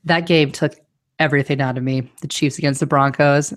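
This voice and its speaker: A woman with a notably low voice hosts a sports podcast in relaxed chat. She talks with confidence and hands out firm calls on whom to pick. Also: brisk. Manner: confident, gives firm advice. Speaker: fantasy football podcast host.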